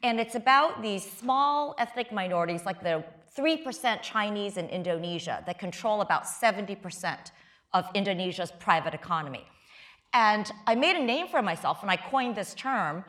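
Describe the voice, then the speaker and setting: A middle-aged American woman from the Midwest talks candidly on stage. Her voice sits at an ordinary pitch for a woman, and she often restarts sentences with self-deprecating asides. The recording is a live talk in front of an audience. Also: lively intonation, moderate pace, fairly bright tone. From United States, Midwest. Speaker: guest author and law professor. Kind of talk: conversational interview on stage.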